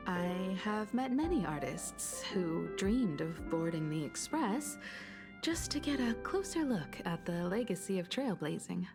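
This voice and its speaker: Composed young lady from a game, soft n measured, lilting pitch, kinda teasing, music under her. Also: big pitch swings. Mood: gracious. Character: composed, gentle, dryly teasing grown daughter. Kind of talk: video game voice acting.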